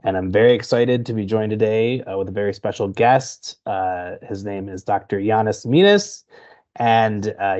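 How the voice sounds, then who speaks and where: A man hosts an interview, asking questions in a low voice with a friendly manner and many fillers. His call audio sounds dull and lo-fi. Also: a little mellow. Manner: friendly, filler-heavy phrasing. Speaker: host interviewer. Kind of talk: company interview.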